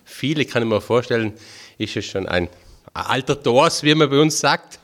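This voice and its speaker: A man's voice: medium pitch, animated, normal speed, a bit bright. A man in his fifties from Tyrol with a Tyrolean accent, a religious brother giving a chatty, homely spiritual talk to a group. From Tyrol, Austria. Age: 50s. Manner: homely, chatty, self-deprecating with dialect phrases.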